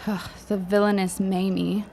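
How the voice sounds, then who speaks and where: A woman's voice: medium pitch, little pitch movement, a little slow, vocal fry, a little mellow. Woman playing a wry, bookish schoolgirl, noisy surroundings. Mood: wry disdain. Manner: wry, precise, literary. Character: witty, bookish high school girl, wry and eloquent. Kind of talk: period audio drama acting.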